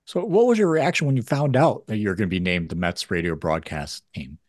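Very deep-voiced male podcast interviewer, lively intonation. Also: fairly quick. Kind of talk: conversational interview questions.

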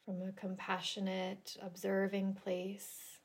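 A woman leading a guided relaxation meditation in a gentle, soothing, deliberate manner with long pauses. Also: medium pitch, completely flat delivery, slightly rough. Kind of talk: guided yoga nidra meditation.

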